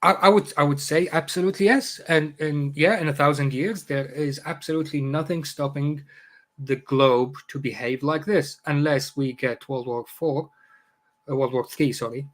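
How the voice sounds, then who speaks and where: A man's voice: on the high side, fairly even intonation, moderate pace. A middle-aged man from Iraq, a native Arabic speaker, chatting casually as a podcast guest, jokey and full of hesitant fillers. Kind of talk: casual conversation.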